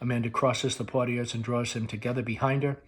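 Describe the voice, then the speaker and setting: A man with a slightly husky voice reading stage directions aloud as host of a read-along, in an expressive narrating style. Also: medium pitch, a little fast.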